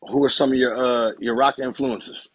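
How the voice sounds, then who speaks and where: A man hosting a casual interview, asking questions haltingly with many restarts. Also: mid-range voice, steady tone, low-quality recording. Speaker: podcast host.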